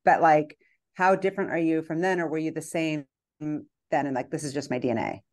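A woman's voice: sits low, brisk, darkish tone. A woman hosting a podcast, chatting enthusiastically with her guest and saying "like" a lot. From Kazakhstan. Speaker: podcast host. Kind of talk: casual interview chat.

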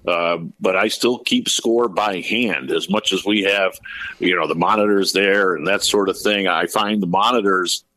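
A man's voice: sits low, normal speed, sharp tone, poor audio. A man in his fifties, a sports broadcaster, explaining his work in detail in a conversational podcast interview. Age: 50s. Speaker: basketball radio play-by-play broadcaster, interview guest.

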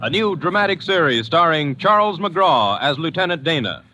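A man's voice: on the high side, very animated, moderate pace, poor audio. A man announcing a radio drama in 1950s style on a vintage broadcast recording. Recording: vintage radio, loud brass music. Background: loud brass orchestral music.

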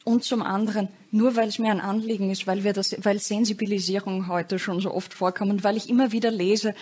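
A woman speaking as a panel guest in a talk show discussion, measured and articulate, with occasional fillers. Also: medium pitch, fairly even intonation, darkish tone, slightly rough.

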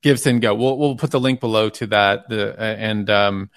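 A man's voice: fairly low pitch, fairly even intonation, normal speed, no room sound. A man hosting a faith podcast who speaks in an affirming, thankful way to his guests. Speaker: podcast host. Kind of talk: interview.

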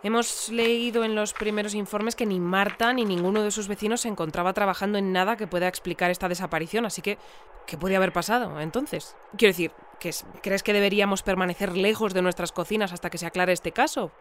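An actress playing a composed, formal presenter of a news bulletin in an audio drama. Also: female voice, medium pitch, steady tone, quick pace, a little hiss or hum, natural voice. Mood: composed, informative. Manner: composed, formal, clearly scripted.

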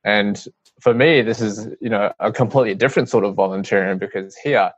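An earnest young man with an Australian accent speaks in a low, measured voice, often restarting his sentences. The audio is dry and a little muffled. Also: dry recording. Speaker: guest, CEO of a youth-led charity. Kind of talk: interview answers.

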